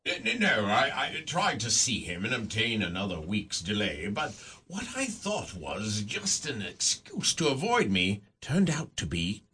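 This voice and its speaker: A husky, stammering debtor. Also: male voice, fairly low pitch, huge pitch swings, a little slow, hardly any pauses, heavily acted. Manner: stammering, hushed. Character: indebted husband relieved at a death. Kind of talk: radio drama acting.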